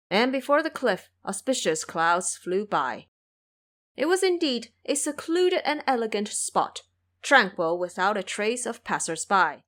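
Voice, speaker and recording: A woman reading scripted audio drama narration as an expressive storyteller. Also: fairly high pitch.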